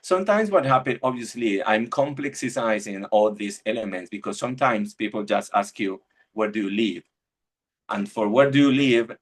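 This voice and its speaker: A man's voice: fairly low pitch, moderate pace, husky. A middle-aged man from Argentina, giving a reflective church webinar talk over a video call and often restarting his phrases as he searches for words. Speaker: webinar speaker.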